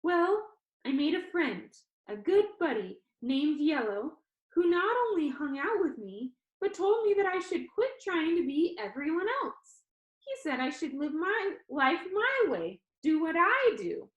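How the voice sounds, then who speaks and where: A woman's voice: high-pitched, sing-song, unhurried, highs cut off, pitched above the performer's own voice. A woman voicing a cheerful, jokey yet sincere teenage boy video-maker in a novel read aloud. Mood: earnest, joking. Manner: exuberant, playful, earnest. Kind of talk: children's novel read-aloud, character dialogue. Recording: echoey room.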